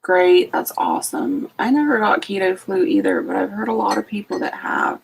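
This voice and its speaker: A woman's voice: medium pitch, moderate pace, gravelly, a little hiss or hum, low-quality recording. A sleepy woman hosting a late-night casual live stream from home, greeting each viewer by name. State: sleepy, just out of bed. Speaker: homestead channel host.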